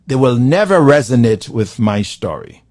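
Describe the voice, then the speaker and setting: A man from Haiti with a Haitian accent, giving a live-streamed motivational talk to viewers, building his lines with slow, preacherly emphasis. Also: mid-range voice, sing-song, darkish tone, no echo, studio quality. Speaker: motivational speaker.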